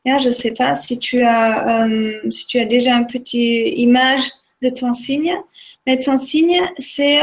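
A woman with a German accent, a German native speaker, slowly explaining a topic on a livestream and often hesitating with fillers. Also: high voice. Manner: hesitant, many fillers and restarts. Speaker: Maya calendar guest speaker.

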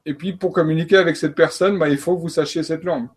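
A man's voice: fairly high pitch, fairly even intonation, fast talker, breathy edge. Male coach, slightly raised voice, hesitant, lots of fillers. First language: French. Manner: hesitant, many fillers and restarts. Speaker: coach and trainer. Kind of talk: panel talk on learning.

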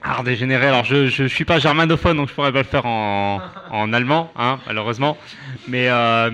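A man giving a spontaneous explanation in a discussion, halting and repeating his words. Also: mid-range voice, bright, forceful tone. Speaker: discussion participant.

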